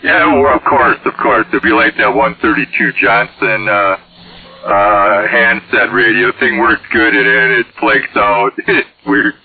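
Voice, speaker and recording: A man's voice: medium pitch, measured pace. A man chatting casually over CB radio in a hesitant, folksy way. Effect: radio transmission. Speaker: CB radio operator. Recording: tinny, band-limited CB radio signal.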